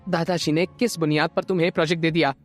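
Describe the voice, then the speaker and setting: A woman's voice: low-pitched, fairly even intonation, quick pace, faint music behind, lightly acted. A woman playing a proud, defiant young wife in an audio drama, speaking in a firm, challenging way. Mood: upset, demanding. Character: proud, defiant young wife who confronts her rivals. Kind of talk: Hindi audio drama acting.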